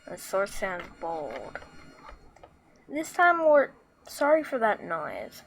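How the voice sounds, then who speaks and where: Squeaky woman rambling thru a software tutorial, kinda slow, lots of likes and ums. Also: wildly swooping pitch, creaky, slight background noise. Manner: rambling, many fillers and restarts. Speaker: tutorial maker. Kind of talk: casual screen-recorded tutorial.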